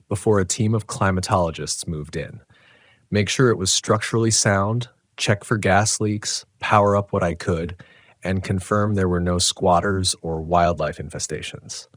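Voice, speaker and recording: A male narrator with a very deep voice tells a first-person horror tale. His delivery is measured and dramatic, with literary phrasing. The sound is dry, with no room around it. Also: dry recording.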